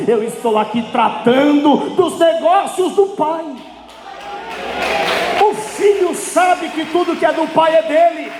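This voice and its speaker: A man's voice: very high-pitched, slow, over music, a crowd in the background. A Brazilian man preaching a sermon as a pastor, emphatic and exhortative, repeating phrases for effect. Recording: echoey hall with long reverb.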